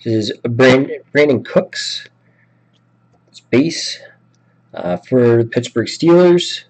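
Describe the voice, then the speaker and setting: A man hosting a sports card break, a bit sick with a raspy voice, listing names and teams and chatting casually. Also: mid-range voice, lively intonation, takes it slowly, darkish tone, rough recording. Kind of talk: live card break commentary.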